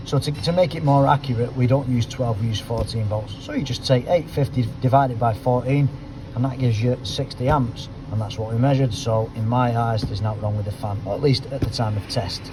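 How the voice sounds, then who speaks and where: A hoarse, middle-aged mechanic talks his way through a repair in a casual, chatty way, thinking aloud and letting his phrases drop off quietly. His voice sits at an ordinary male pitch. Workshop noise and vehicle sounds hum clearly behind him. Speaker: car mechanic, auto electrician. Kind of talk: casual workshop commentary while fault finding.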